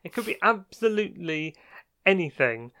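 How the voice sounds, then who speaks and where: A man co-hosting a podcast in a small homemade studio, chatting casually with a hesitant, halting delivery. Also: high-pitched, sing-song, sharp tone. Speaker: podcast co-host.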